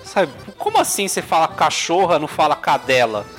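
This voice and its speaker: A blunt speaker chats casually and swears freely. The voice sits mid-pitch but moves up and down a lot. Faint music runs underneath. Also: very animated, brisk. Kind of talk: casual chat.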